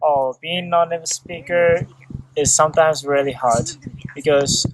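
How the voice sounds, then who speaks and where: A teenage man from Japan with a Japanese accent, answering in halting, careful English. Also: a little high, steady tone, a little hiss or hum, rough recording. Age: late teens. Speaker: student interviewee.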